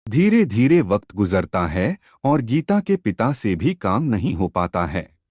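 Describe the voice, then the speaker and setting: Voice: mid-range voice, very animated, measured pace, a little mellow, dry recording, studio quality. A narrator reading a scripted moral story.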